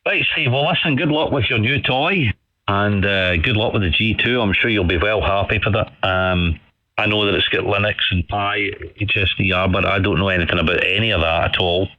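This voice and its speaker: A man's voice: on the low side, fairly quick. A man chatting casually and in a friendly, rambling way over a ham radio transmission with muffled audio. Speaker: amateur radio operator. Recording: dull band-limited ham radio audio.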